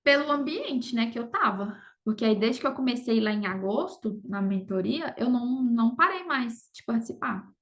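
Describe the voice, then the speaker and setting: A woman's voice: on the high side, animated, normal speed, hardly any pauses, a bit bright. A Brazilian woman talking casually as an interview guest, often adding little check-in tags to her sentences. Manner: conversational, frequent "sabe" and "entendeu" tags. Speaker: podcast guest, affiliate marketer.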